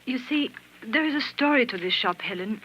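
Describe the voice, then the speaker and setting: An actress playing a wistful dressmaker in a measured, sorrowful, theatrical 1940s radio drama style. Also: female voice, a little high, expressive, close to an everyday voice. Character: wistful dressmaker Marie, telling a tragic story. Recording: noisy, dull old 1940s radio recording.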